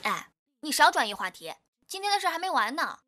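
A woman's voice: high-pitched, very animated, a little fast, pushed and bright, full character voice. A woman acting in a Chinese audio drama as a jealous, pouty, insecure young girlfriend.

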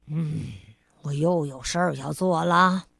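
A woman's voice: low-pitched, takes it slowly, creaky, fairly bright tone, breathy edge, no echo, clean studio sound, pitched below the performer's own voice, heavily acted. A woman voicing a fussy, tidy grown man who is easily upset, exclaiming indignantly in a children's storybook reading.

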